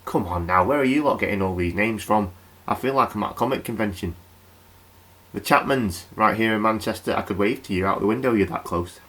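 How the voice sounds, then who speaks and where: A man's voice: lowish voice, a little fast, fairly bright tone, natural voice. An actor playing a chatty, wry male local radio night host. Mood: jovial.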